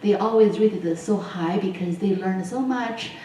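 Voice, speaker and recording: A woman's voice: medium pitch, normal speed, warm tone. A woman professor on a panel, talking with many restarts and fillers. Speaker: panelist, earth science professor. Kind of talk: panel discussion answers. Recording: echoey hall mic from far off, faint noise.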